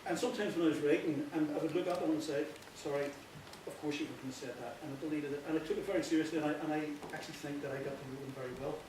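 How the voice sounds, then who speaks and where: A man with a Northern Irish accent giving an informal, earnest speech, often halting and repeating himself. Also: fairly high pitch, fairly even intonation. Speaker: playwright giving a thank-you speech. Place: echoey indoor hall before an audience. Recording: very echoey, distant, noisy with clicks.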